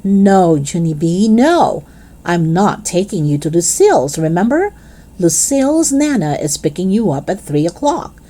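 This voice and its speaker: A firm, weary mother from a children's storybook, voiced by a woman reader. She speaks in a lowered, measured tone, chiding her energetic child.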